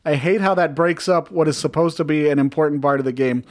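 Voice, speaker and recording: A man on a casual podcast reading a review aloud in a sardonic, deadpan way, swearing freely and breaking off for banter. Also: on the high side, quick pace.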